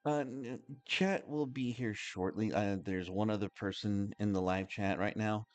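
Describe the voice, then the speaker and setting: A man hosting a game livestream, chatting casually in a halting, rambling way. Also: on the low side, fairly even intonation, darkish tone. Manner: halting and rambling, many restarts. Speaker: game streamer, host.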